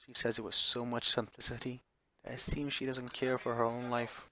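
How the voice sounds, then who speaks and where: A man's voice: mid-range voice, monotone, normal speed, bright, forceful tone. A man streaming a visual novel, chatting casually as he plays and reading the text aloud, sometimes stumbling and correcting himself.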